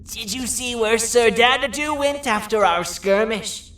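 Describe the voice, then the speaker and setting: An actress playing a practical, cautious, resourceful companion in a video game. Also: female voice, on the high side, measured pace, fairly bright tone. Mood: focused, planning. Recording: roomy, distant, faint music.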